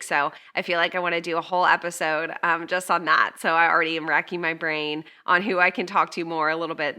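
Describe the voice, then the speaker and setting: A woman hosts a beauty podcast in a loose, chatty way. Her voice is bright and forward, sitting slightly low, and she pads her thoughts with "um" as she goes. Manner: chatty, many "um" fillers. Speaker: podcast host. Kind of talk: casual interview and reflection. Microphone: video call.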